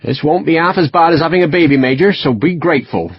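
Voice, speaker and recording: A man's voice: fairly high pitch, fast talker, husky, no echo, pitched above the performer's own voice. A man voicing a brusque, cheerful army surgeon with a West Country accent, in a dull, dry recording. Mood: hearty. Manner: hearty, brusque.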